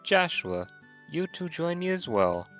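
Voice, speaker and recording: A man's voice: on the high side, very animated, unhurried, a bit bright. A man voicing a proud, bossy, hard-drinking young woman mentor in an amateur game playthrough. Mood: demanding. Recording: music under the voice.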